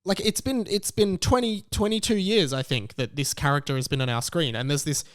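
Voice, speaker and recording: A young Australian man talks casually as a podcast host. His voice is smooth and slightly high for a man, recorded dry and close, and he breaks sentences up to lean on important words. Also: fairly even intonation, a little fast, fairly bright tone, no room sound. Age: late 20s.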